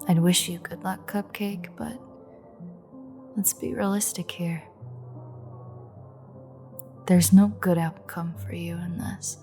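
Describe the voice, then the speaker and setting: A sardonic female vampire, acted by a woman for an intimate audio drama, speaks low and very slowly with a creaky edge. She slips in and out of whispers, threatening and teasing an old enemy she is secretly fond of. Music plays behind her. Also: steady tone, mellow. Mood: resigned, menacing. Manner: wry, teasing, half-whispered, unhurried. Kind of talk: intimate audio roleplay acting.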